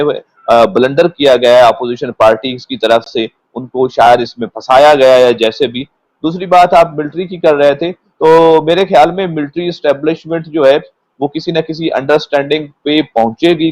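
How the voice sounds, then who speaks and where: A man with a Pakistani accent speaking as a guest commentator, explaining politics in a measured, unscripted way and sometimes repeating words. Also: on the high side, darkish tone, dry recording, poor audio. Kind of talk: unscripted political analysis in a panel discussion.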